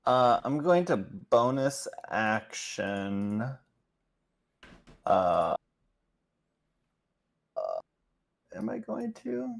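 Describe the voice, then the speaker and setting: Man, tabletop player, slow delivery, very varied intonation, casual game talk. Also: lowish voice, wildly swooping pitch, creaky.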